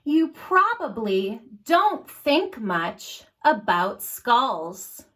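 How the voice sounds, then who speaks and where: A woman hosting story time for young children, speaking in an animated, encouraging way straight to her little listeners. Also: fairly high pitch, extremely slow. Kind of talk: reading and singing aloud to young children.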